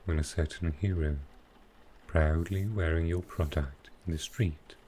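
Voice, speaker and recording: A man playing a sly, dryly amused male supervillain in a soft roleplay ASMR style, with halting, understated delivery. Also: low-pitched, steady tone, a little hiss or hum. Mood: wry, pointed. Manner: unhurried, halting delivery with pauses, dry understated humour.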